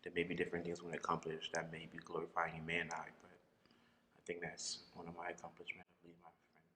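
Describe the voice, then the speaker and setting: Young man, deep and bright, reflective guest, pauses with ums, room echo. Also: completely flat delivery, a little hiss or hum. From Liberia. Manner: thoughtful, pauses with ums, quick to laugh. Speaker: podcast guest. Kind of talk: faith testimony in casual interview.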